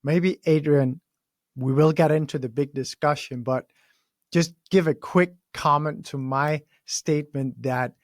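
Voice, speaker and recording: A man's voice: medium pitch, no echo. Articulate male podcast host, dry sound. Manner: deliberate, articulate, framing questions with opinions. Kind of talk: scripted intro, then interview questions.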